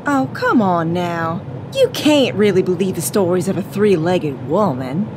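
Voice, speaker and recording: A woman's voice: fairly low pitch, very animated, unhurried, background noise. A woman acting an exasperated, fearless, teasing partner in an audio drama, with a no-nonsense, mocking way of talking. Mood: teasing, dismissive.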